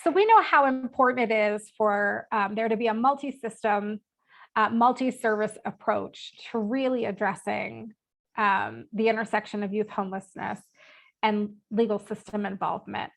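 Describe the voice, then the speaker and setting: A woman with a cold and a stuffed-up voice gives an earnest, steady presentation as a panel speaker at a webinar. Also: mid-range voice, moderate pace, vocal fry.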